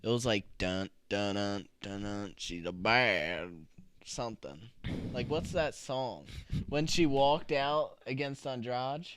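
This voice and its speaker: A man's voice: medium pitch, slow, fairly bright tone, slight background noise. A young man co-hosting a casual sports podcast, laid-back and trailing off, his voice a little worn. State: losing his voice. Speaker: podcast co-host.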